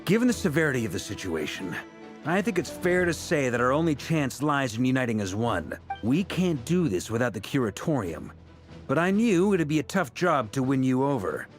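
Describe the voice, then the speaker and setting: A man voicing a smooth, persuasive, easygoing knight strategist in a video game. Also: mid-range voice, big pitch swings, normal speed, faint music behind, lightly acted. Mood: breezy, cajoling.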